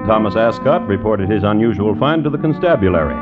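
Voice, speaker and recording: An actor playing a wry crime storyteller, narrating in droll, deliberate vintage radio style. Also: male voice, fairly low pitch, expressive, close to an everyday voice. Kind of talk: 1950s radio scripted narration. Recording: dull old radio recording. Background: plucked guitar music.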